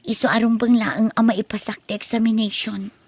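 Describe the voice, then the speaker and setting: A woman's voice: on the high side, flat delivery, brisk, a little mellow, slightly rough, no echo. A young woman with an Ilocano accent playing an earnest, devoted daughter in a radio drama, with a pleading, emotional manner. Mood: earnest, worried.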